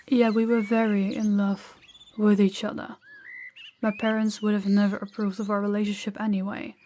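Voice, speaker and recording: A woman playing a gentle, candid young princess in an intimate audio roleplay, soft-spoken and confiding with hesitant pauses. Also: mid-range voice, a little hiss or hum, natural voice. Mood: wistful, grieving.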